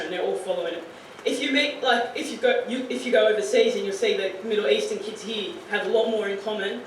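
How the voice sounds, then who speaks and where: A young Australian woman in her late teens, speaking earnestly and casually to high school students, with many fillers like "you know" and "like.". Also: fairly bright tone. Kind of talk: informal talk to a student audience. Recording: very echoey room, picked up from far off, faint hiss.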